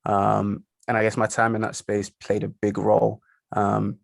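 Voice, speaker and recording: A man's voice: lowish voice, monotone, a little slow, creaky, dry recording, studio quality. A young man in his twenties, a podcast guest, talking about his career with frequent hesitations. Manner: hesitant, lots of ums. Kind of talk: podcast interview.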